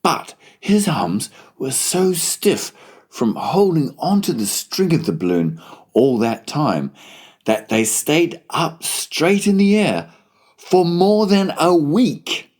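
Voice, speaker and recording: A man's voice: on the high side, expressive, takes it slowly, a little mellow. A man narrating a children's story as a scripted audiobook.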